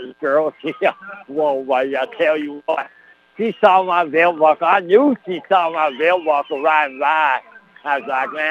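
A man's voice: fairly high pitch, a bit bright, close to an everyday voice, rough recording. A man voicing a boastful rural good-old-boy cousin who rambles folksily on a radio sports broadcast, peppering every phrase with "man" and "baby". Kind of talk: comic character bit on a sports broadcast.

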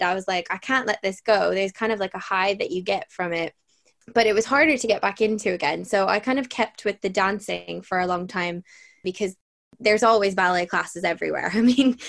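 A woman's voice: mid-range voice, gravelly. A young woman from Ireland with a mixed Irish accent that comes and goes, chatting casually as an interview guest and laughing often as she talks. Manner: chatty and giggly, often laughing mid-sentence.

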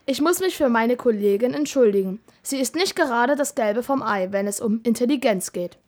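A woman's voice: fairly high pitch, animated, normal speed, a little mellow. A female amateur playing a sensible, businesslike police investigator in an audio drama, speaking in a matter-of-fact way. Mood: businesslike, dry.

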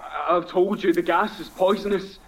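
A man's voice: high voice, steady tone, moderate pace, creaky, slight background noise, low-quality recording. A man acting in a horror radio play as an anxious, damaged stranger, urgent and pleading, stammering under threat. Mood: nervous pleading.

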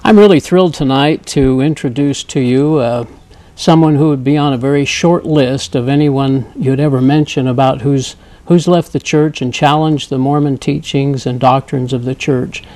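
Male interview host; mellow, gravelly, warm-toned encourager. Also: mid-range voice, steady tone, normal speed, no echo. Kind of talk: interview hosting.

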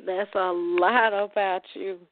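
A woman's voice: medium pitch, steady tone, takes it slowly, dry recording. A woman hosting a radio author interview, friendly and welcoming. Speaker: radio show host. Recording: dry tinny phone line.

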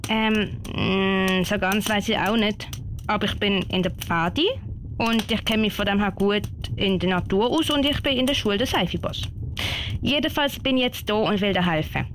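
A woman's voice: medium pitch, moderate pace, a little hiss or hum, natural voice. A woman playing a brave, eager ten-year-old girl scout with a Swiss German accent in an amateur radio play. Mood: determined.

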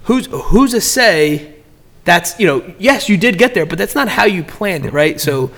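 A man's voice: high voice, lively intonation, a little slow, a bit bright. A man in casual podcast chat, giving business advice in a rambling way, often repeating words and restarting sentences.

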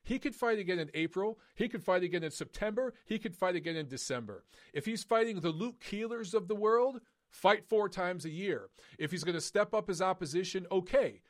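A man hosting a live boxing call-in podcast, emphatic and opinionated, his voice going hoarse. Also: sits high, animated, moderate pace, hardly any pauses. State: losing his voice.

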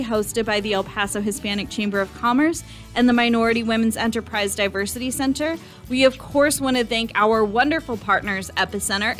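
A woman's voice: fairly high pitch, fairly bright tone. A woman hosting a podcast interview in a studio, encouraging her guest with frequent short replies. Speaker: podcast host.

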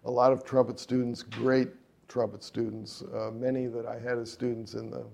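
A man's voice: medium pitch, little pitch movement, normal speed, creaky, darkish tone. A middle-aged man, a jazz trumpeter and composer, answering interview questions in a rambling way with many fillers and restarts.

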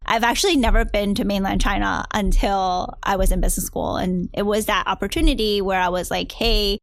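A woman's voice: medium pitch, steady tone, fairly quick, creaky, no echo. American woman, dry close interview sound. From United States, New Jersey and New York area. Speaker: interview guest, venture capitalist. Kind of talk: conversational interview answers.

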